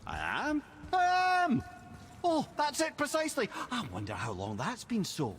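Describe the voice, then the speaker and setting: A man voicing a talkative, befuddled but wise talking severed head in a video game, eloquent and excitable. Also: extremely high pitch, a little slow, a little hiss or hum, exaggerated voice. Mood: baffled. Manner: excitable, eloquent, easily baffled. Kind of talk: video game character acting.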